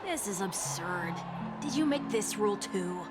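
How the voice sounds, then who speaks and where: A woman playing a small, blunt, gruff game companion with a deadpan, exasperated way of talking. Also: mid-range voice, a little slow, loud music behind the voice, natural voice. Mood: grumbling. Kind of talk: voiced game dialogue.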